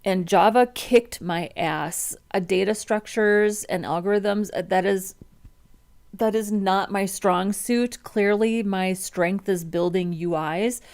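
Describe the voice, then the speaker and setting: A woman's voice: mid-range voice, slow pace, a little mellow. A woman in her thirties with a Midwestern American accent, chatting casually on a livestream and reading viewer comments, with many ums and restarts. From United States. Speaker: web developer streamer.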